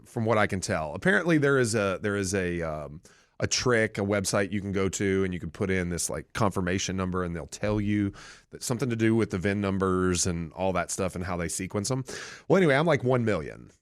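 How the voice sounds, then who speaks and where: Radio host, male, middle-aged, low and very expressive delivery, dry studio sound. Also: fairly quick, no room sound. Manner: animated, lively storytelling with big pitch swings. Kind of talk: casual radio talk. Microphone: studio microphone.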